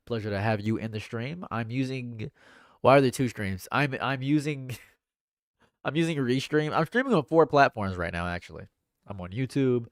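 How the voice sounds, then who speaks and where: A young man with a stuffed-up nose, reading chat comments on a livestream in a dry, deadpan way. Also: medium pitch, no room sound. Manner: dry and deadpan, laughs at own jokes. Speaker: livestream host. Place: home room.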